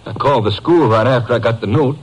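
An actor playing a distraught rancher father of a kidnapped boy in halting 1950s radio drama style. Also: mid-range voice, close to an everyday voice. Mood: desperate. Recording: noisy old 1950s radio recording.

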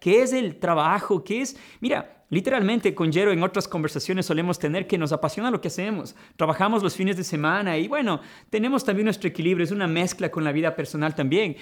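A man's voice: high voice, fairly quick, few pauses. A man hosting a conversation, reflective and coaching in tone, often saying "mira" and calling his guest brother. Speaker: podcast host and life coach. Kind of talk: conversational interview.

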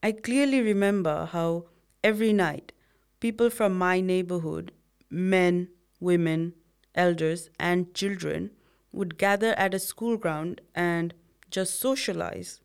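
Reflective young woman, smooth low voice. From Bhutanese refugee, grew up in Nepal. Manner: measured, reflective, read-aloud delivery. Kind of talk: scripted conversation.

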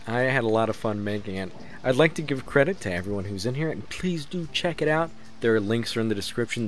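Eager, casual man thanking contributors. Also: on the low side. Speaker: creator, writer and director. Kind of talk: casual closing credits.

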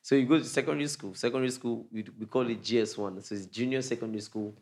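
A man's voice: mid-range voice, fast talker. Bantering man hosting. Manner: lively hype-man openings, easy banter. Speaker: host. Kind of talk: casual interview chat.

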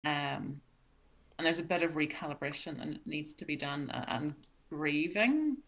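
A middle-aged woman, speaking as an interview guest in a reflective, halting way with many restarts. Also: deep voice, animated, a bit bright. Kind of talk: conversational interview.

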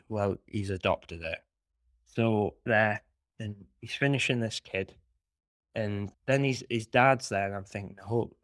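Male podcast co-host, lowish pitch, chatty storyteller, dry booth sound. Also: no echo. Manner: rambling anecdotal storyteller. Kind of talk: casual chat.

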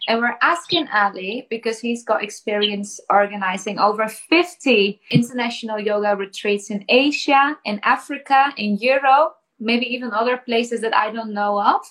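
A woman hosting a podcast interview, upbeat and encouraging, speaking clearly for learners. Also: fairly high pitch, moderate pace, few pauses, a bit bright, low-quality recording. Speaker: podcast host.

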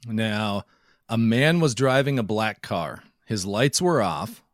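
A man's voice: on the low side, expressive, slow, no room sound, studio quality. A man co-hosting a podcast in an easygoing, conversational style. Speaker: podcast co-host. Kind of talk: casual podcast chat.

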